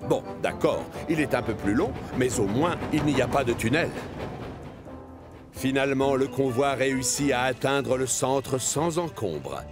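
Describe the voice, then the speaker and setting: A man's voice: medium pitch, expressive, measured pace, music in the background. A man narrating a children's cartoon as a storyteller, reading a scripted tale.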